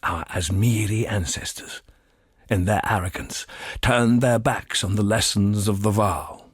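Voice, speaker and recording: Game villain: scheming, fervent thaumaturge, husky and very breathy, grandiose, close dry sound. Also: male voice, on the low side, fairly even intonation, no room sound. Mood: fervent, persuasive. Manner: slow, deliberate, grandiose. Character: arrogant, obsessive male thaumaturge, fervent and scheming. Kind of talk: dramatic scripted game monologue.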